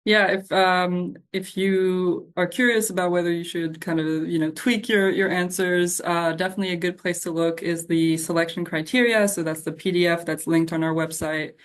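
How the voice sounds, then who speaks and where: A woman on a program's staff answering audience questions in an informal, halting, reassuring way. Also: lowish voice, steady tone.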